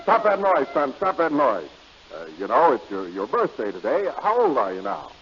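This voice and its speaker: A man playing an excitable, stern father of a young boy in an old radio comedy. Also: a little high, moderate pace, soft background music. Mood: scolding. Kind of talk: 1930s radio comedy acting. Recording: muffled vintage radio, faint noise.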